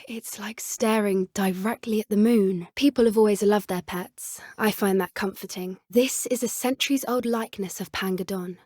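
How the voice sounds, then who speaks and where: A woman with a British accent voicing an earnest, soft-spoken teenage witch who loves animals, in a video game. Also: mid-range voice, fairly even intonation, moderate pace, few pauses, no room sound, studio quality, close to an everyday voice. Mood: hushed.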